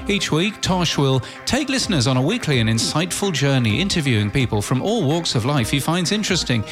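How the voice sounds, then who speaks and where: A man presents a scripted podcast news bulletin with music behind him. His delivery is crisp and orderly, moving from headline to headline with the odd dry aside. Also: a little high, very animated, fairly quick.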